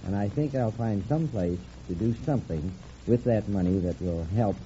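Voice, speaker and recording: Very mellow, dark-voiced male contestant. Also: on the low side, moderate pace. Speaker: quiz contestant. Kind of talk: unscripted quiz show interview. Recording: muffled, slightly noisy 1950s radio broadcast.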